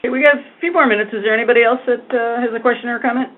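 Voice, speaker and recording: A woman's voice: fairly high pitch, steady tone, rapid-fire. A woman moderating a conference call in an informal way. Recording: tinny phone line with faint noise.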